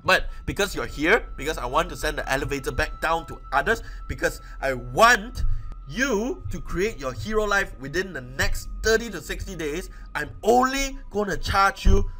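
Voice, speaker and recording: A man with a Malaysian accent giving a webinar sales pitch as an online business coach. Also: animated, normal speed, bright, forceful tone.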